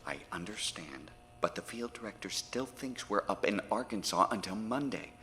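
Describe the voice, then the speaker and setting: An actor playing an earnest, diplomatic federal agent in an audio horror drama, speaking politely and persuasively. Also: male voice, fairly low pitch, animated, normal speed, pushed and bright. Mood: concerned, urgent. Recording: very reverberant, with noise behind.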